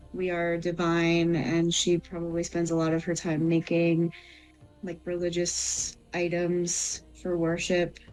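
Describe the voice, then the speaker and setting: Female tabletop player, on the lower side, casual improvised character talk over light music. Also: darkish tone, low-quality recording. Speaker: tabletop role-playing player. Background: faint music.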